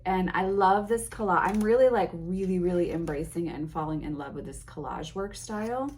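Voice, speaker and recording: A woman's voice: fairly low pitch, normal speed, a little mellow, a little hiss or hum. A woman chatting casually and effusively to her viewers as a tarot vlogger, a little sniffly from allergies. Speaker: tarot content creator. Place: home.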